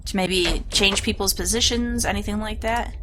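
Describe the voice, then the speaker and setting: A woman's voice: mid-range voice, normal speed, noisy background. Stuffy-nosed woman musing over a game. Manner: musing, thinking aloud. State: stuffed up, sniffling. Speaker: let's play gamer. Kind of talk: casual gameplay commentary.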